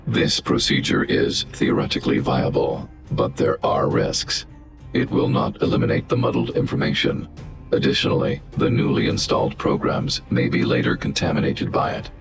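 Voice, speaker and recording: A man playing a calm, logical robot guardian in a video game, speaking in a measured, formal, emotionless way. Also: rumbling low, vocal fry, over music. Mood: flat, analytical. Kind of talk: scripted video game voice acting.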